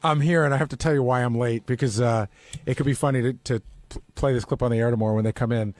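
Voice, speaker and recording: A man's voice: mid-range voice, fairly even intonation, fast, darkish tone, no room sound, faint music behind. A man telling a story in casual radio chat as a show staffer.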